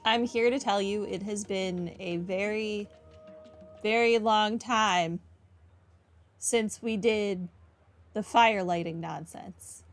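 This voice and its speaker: A woman's voice: medium pitch, slow pace, pauses often, faint music behind. A woman game streamer giving casual playthrough commentary in a dry, wry manner.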